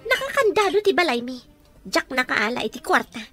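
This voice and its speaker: A woman's voice: high voice, very animated, a little fast, fairly bright tone, soft background music. A woman with a Filipino accent playing a distraught, indignant wife-like figure shut out of home, melodramatic radio drama acting. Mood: indignant, upset.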